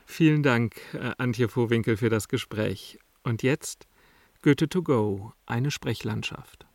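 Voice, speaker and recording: Creaky-voiced man interviewing. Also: mid-range voice, takes it slowly, a little mellow, dry recording. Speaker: radio interviewer. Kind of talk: interview questions.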